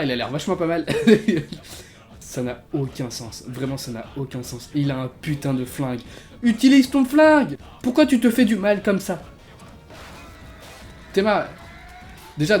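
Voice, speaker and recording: A young man giving a comedy reaction commentary, excitable and laughing, full of street slang. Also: a little high. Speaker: YouTuber.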